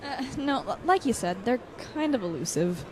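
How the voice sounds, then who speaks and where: An actress playing a skeptical, teasing young college student in an audio drama, casual in manner. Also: female voice, a little high, very animated, moderate pace, a little hiss or hum.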